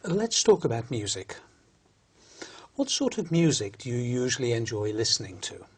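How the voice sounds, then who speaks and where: A man reading out scripted questions as a language test examiner, formal and measured. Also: mid-range voice, big pitch swings, husky.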